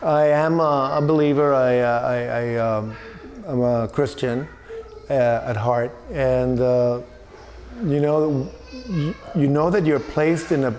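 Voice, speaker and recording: An older man from Chile who runs an orphanage, speaking in a halting, earnest way in a documentary interview. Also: mid-range voice, steady tone, a little mellow, a little hiss or hum. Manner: halting, earnest, searching for words. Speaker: orphanage director.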